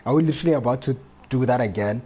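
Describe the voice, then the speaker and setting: A man's voice: mid-range voice, rapid-fire, warm tone, breathy edge. A young man doing casual, slangy reaction commentary as he livestreams a video game. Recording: faint game music behind voice. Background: game music.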